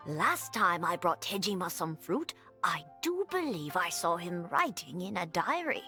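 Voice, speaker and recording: A woman's voice: fairly low pitch, sing-song, measured pace, bright, forceful tone, faint music behind, full character voice. A woman playing a polite, helpful village lady in a video game, speaking formally and with assurance. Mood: helpful, insistent. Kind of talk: English video game voice acting.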